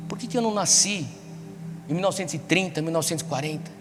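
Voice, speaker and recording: Male Brazilian preacher, highish voice, urging a young crowd through repeated questions, clear music underneath. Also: fast talker. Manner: exhortative, rhetorical questions and repetition. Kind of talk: sermon to a young congregation. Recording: echoey large hall, music behind.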